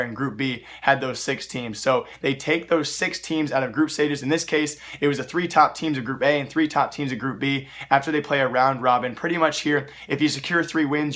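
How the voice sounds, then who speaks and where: A hoarse young man hosting an esports news show, talking through the stories in a quick, rambling way. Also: medium pitch, fairly bright tone. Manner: rapid, rambling, many fillers. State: losing his voice, hoarse. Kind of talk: fast news commentary.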